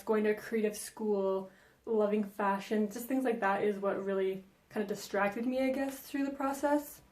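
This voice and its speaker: A woman's voice: mid-range voice, a little mellow. Chatty young woman vlogger, echoey room. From Denmark. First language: Danish. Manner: chatty, frequent ums and likes. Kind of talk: casual Q&A vlog.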